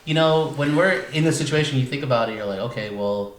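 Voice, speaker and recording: Fast-talking man, echoey room. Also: mid-range voice. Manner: rambling, full of hedging asides, swearing. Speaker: podcast host. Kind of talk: casual podcast chat with friends. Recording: echoey room with light background noise.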